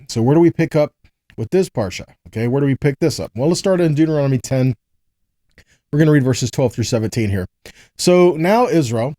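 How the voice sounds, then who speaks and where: A man's voice: fairly high pitch, mellow, no room sound, studio quality. An American man giving a conversational sermon as a Bible teacher, often posing rhetorical questions and checking in with listeners. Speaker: preacher teaching a scripture lesson. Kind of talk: conversational sermon with scripture readings.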